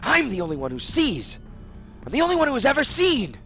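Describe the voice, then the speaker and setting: A man voicing an obsessed, sick male scholar who shouts frantically in a raised voice. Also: medium pitch, huge pitch swings, normal speed, soft background music, voice pushed up. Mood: frantic.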